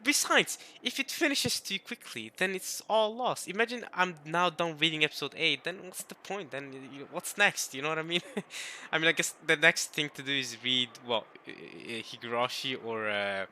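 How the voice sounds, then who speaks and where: A man's voice: fairly high pitch, normal speed, pushed and bright, slight background noise. A young man casually rambling to his viewers as a video creator between readings. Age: young adult. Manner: rambling, babbling.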